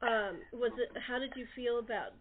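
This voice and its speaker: A woman's voice: fairly high pitch, normal speed. A woman hosting a casual interview, chatting in a rambling, jokey way over a narrow phone connection. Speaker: podcast host and theater producer. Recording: narrow, tinny phone-line sound.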